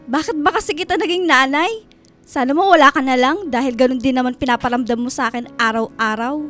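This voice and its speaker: An actress playing a wounded, bitter teenage daughter with a Filipino accent in a radio drama. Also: female voice, high-pitched, brisk, a bit bright, soft background music. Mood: angry, wounded. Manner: accusing, bitter, emotional.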